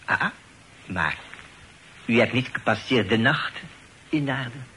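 An actor playing a sly, polite, teasing portrait painter and landlord with a French accent and halting phrasing sprinkled with French, in a Dutch radio play. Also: male voice, medium pitch, lively intonation, bright, forceful tone. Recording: noisy old radio play recording.

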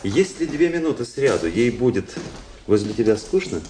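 An actor playing a cynical, world-weary young aristocratic officer in a radio play, narrating in a measured, ironic literary manner. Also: male voice, medium pitch, animated, dark tone, a little hiss or hum, lightly acted. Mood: cool, mocking. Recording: radio play with faint music behind.

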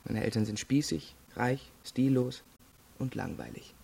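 An actor playing an arrogant, evasive rich young male student under questioning in a radio crime drama, cool and disdainful, with a rough voice. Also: medium pitch, animated, unhurried, dark tone, slight background noise. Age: young adult.

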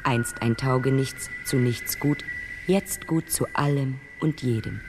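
An actor playing a calm, cryptic oracle who speaks in riddles, unhurried and enigmatic, in a radio play. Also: male voice, mid-range voice, over music, close to an everyday voice. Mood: serene. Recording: long reverb, noisy ambience.

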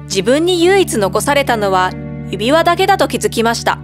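A woman narrates a bedtime fairy tale with expressive storytelling. Her voice is high and lively, rising and falling freely. Clear music plays behind her. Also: a little fast. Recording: background music.

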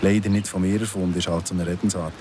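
Man acting brooding ex-prisoner, thirties, Swiss German accent, low pitch, repetitive sardonic phrasing, radio play, background noise. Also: steady tone, quick pace, lightly acted. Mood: menacing irony. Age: 30s. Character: brooding ex-convict in his thirties, embittered, obsessed with justice. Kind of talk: radio play dialogue and monologue.

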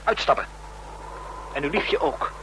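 An actor playing a hot-headed, agitated young man in a radio drama, quick to accuse and bite. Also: natural voice. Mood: bitter, mocking. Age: young adult. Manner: biting, mocking, agitated. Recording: noisy, humming old radio drama recording.

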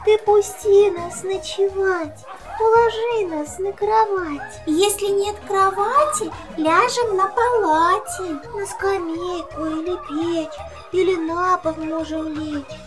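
Plaintive childlike kitten, extremely high, singsong, music behind. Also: takes it slowly. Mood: pleading. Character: small pleading homeless kitten, childlike. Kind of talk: children's audio play acting, singing.

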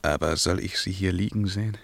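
An actor playing an anguished, imperious ruler in a verse radio drama, declaiming between whispers and loud commands, with a creaky voice. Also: male voice, deep voice, fairly even intonation, brisk. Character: anguished, imperious male ruler mourning his dead beloved.

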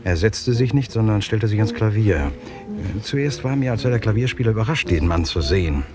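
A man playing a courteous, hesitant writer who acts as witness in a 1960s German radio crime drama, speaking in a measured way. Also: lowish voice, expressive, lightly acted. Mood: hesitant, earnest. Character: polite, hesitant male writer turned witness. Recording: 1960s radio play, music behind.

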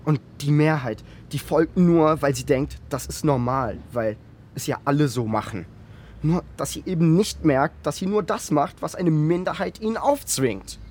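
A man's voice: on the high side, moderate pace, a little mellow, natural voice. Earnest young male activist played by an actor. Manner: earnest, defiant. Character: angry, idealistic young activist son of a politician. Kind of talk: German radio play acting.

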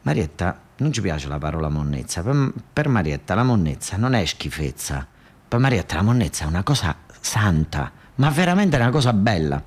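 A man's voice: low-pitched, very animated, brisk. A man with a southern Italian accent telling a comic theatrical monologue in a colloquial, repetitive, dialect-tinged way. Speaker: storyteller and stage actor.

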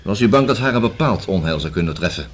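An actor in a radio play as a methodical police inspector who reasons and questions in a formal, measured, deliberate way. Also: male voice, deep voice, lively intonation. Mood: formal, pressing. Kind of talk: radio drama acting. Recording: hissy old radio play recording.

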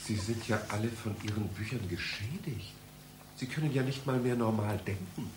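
An actor playing an exasperated, formal, somewhat naive library visitor in a satirical radio play. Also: male voice, on the low side, moderate pace, echoey room, background noise, lightly acted. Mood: indignant. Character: indignant, naive male library visitor, increasingly uneasy.

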